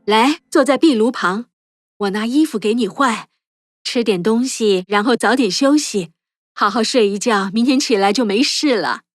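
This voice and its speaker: A woman playing a gentle motherly queen. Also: a little high, normal speed, dry recording, studio quality, soft background music, close to an everyday voice. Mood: caring. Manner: kind, motherly, composed. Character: gentle caring queen, middle-aged woman. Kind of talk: cartoon dubbing.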